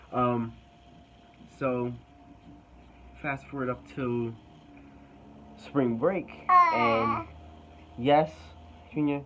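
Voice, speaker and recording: A man's voice: mid-range voice, a bit bright, dull audio, a little hiss or hum. A young man casually telling a personal story to camera, hesitant and rambling with many fillers.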